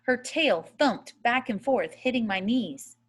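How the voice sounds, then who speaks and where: A woman reading a first-person novel aloud as a storyteller, in a measured, expressive way. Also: on the high side, slightly rough. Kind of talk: audiobook-style readaloud of a first-person children's novel.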